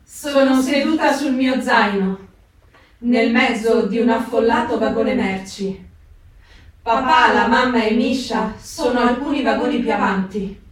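Actress as high-voiced young deportee, far-off and echoing. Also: female voice, measured pace, natural voice. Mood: calm, resolute. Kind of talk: theatrical dramatic reading of letters. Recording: roomy, distant pickup with guitar music.

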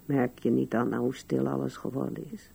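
An older woman acting in a radio drama as a musing, wistful old widow with a gravelly, husky voice. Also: rumbling low, little pitch movement, moderate pace, dark tone, exaggerated voice. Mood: tender, sorrowful.

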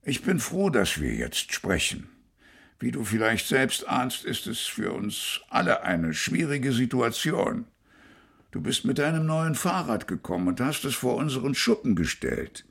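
An actor playing a stern father who also appears as a shopkeeper in a radio play, speaking slowly and coolly. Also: mid-range voice, sing-song, creaky, a bit bright, husky, heavily acted. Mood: measured. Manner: slow, measured and cool.

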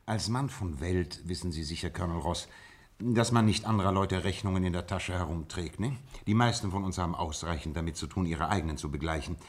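Deep, husky, shrewd detective. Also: male voice. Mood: confident, dramatic. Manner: deliberate, explanatory, with teasing asides. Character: shrewd, self-assured male detective, gentleman of the world. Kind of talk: German radio play acting.

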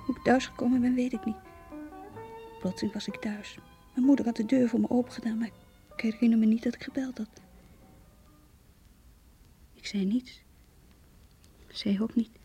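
Breathy, heartbroken young woman. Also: on the high side, fairly even intonation, faint music behind. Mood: numb, sorrowful. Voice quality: breathy, hushed. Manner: intimate, halting, sorrowful. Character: heartbroken young woman confiding her lost love. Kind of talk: radio play monologue, diary-like narration.

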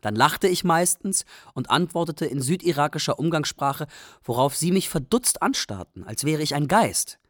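A man's voice: on the high side, animated, normal speed, no echo. An actor playing a wry Iraqi refugee who tells of his youth in matter-of-fact radio drama narration. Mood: wry, reflective.

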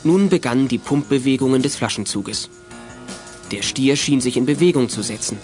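A male narrator reads a scripted children's radio drama. His voice is of average pitch. Music plays faintly behind him. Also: moderate pace, a little mellow.

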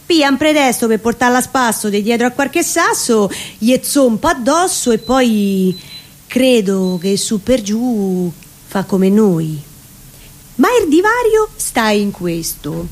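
A woman's voice: fairly high pitch, sing-song, slow pace. A woman with a Roman accent giving a theatrical recitation of comic dialect verse.

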